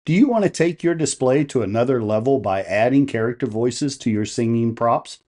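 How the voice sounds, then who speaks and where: A man's voice: medium pitch, animated, moderate pace, darkish tone. A man hosting an instructional how-to video, explaining step by step and posing questions to the listener. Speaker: host of a how-to video on holiday light displays. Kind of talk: instructional tutorial narration.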